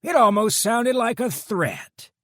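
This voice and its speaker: A man voicing a gruff, commanding biker deputy with a drawling, authoritative delivery. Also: very high voice, animated, slow, a bit bright, dry recording, studio quality, voice pushed up, full character voice.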